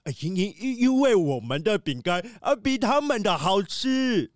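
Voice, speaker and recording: A man's voice: high voice, big pitch swings, a little slow. A man voicing a nervous male pangolin factory worker who stutters constantly, in a children's radio drama. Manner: stutters constantly, many fillers. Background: background music.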